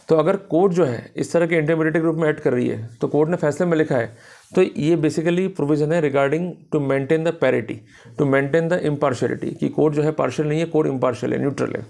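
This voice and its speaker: An Indian-accented man with a fairly high voice and a strong creaky, gravelly edge. He explains legal points like a lecturer, speaking straight to his listeners. He mixes in English legal terms as he teaches. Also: a little mellow. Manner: didactic, addresses listeners directly. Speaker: law lecturer.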